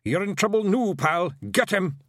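Tiny pixie boss, raised pitch, scrappy, urgent, bossy, storybook character. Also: male voice, lively intonation, moderate pace, a bit bright, no room sound, studio quality. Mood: threatening. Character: tiny male pixie clan leader, feisty and scrappy.

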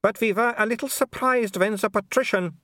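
A man's voice: high-pitched, fairly quick, fairly bright tone, no room sound, clean studio sound, voice pushed up. A man voicing a haughty, falsely sweet aristocratic baroness in a storybook reading, with prim, icily polite speech.

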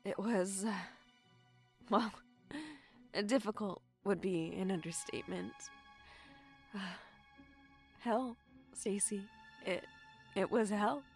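A woman playing a shaken but defiant young survivor in an audio drama, halting and emotionally raw. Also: medium pitch, darkish tone, faint music behind. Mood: grieving.

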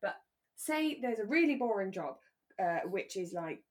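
Female podcast co-host, medium pitch, informal and conversational, working out thoughts aloud. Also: sing-song, a little slow. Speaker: actor. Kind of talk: relaxed casual chat about a radio drama.

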